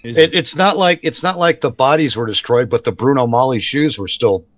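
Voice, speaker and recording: A man's voice: medium pitch, moderate pace, a bit bright, no room sound, lo-fi sound. Sarcastic man on a dry, close mic. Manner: sarcastic, quipping. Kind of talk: casual banter.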